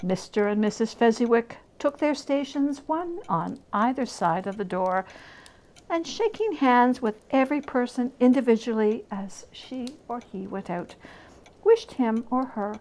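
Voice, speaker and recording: A woman storyteller with a fairly high voice, reading very slowly and deliberately. She shapes each phrase with expressive, dramatic emphasis, as in a classic tale read aloud. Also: rough recording.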